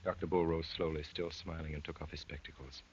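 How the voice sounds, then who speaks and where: An actor playing an earnest young gentleman poet and undercover policeman in theatrical 1930s radio drama, articulate and urgent. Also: very deep voice, little pitch movement, fairly quick, slight background noise. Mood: hushed narrating. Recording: dull lo-fi 1930s radio recording.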